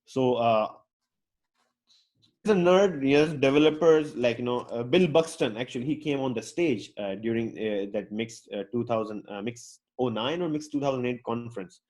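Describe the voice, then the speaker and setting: A man's voice: medium pitch, moderate pace, a little mellow. A man who learned English as a later language, speaking as a UX designer to an online audience in a rambling, outgoing way full of fillers and restarts. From Pakistan. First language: not English; English is his fourth language. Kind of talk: casual talk to an online audience.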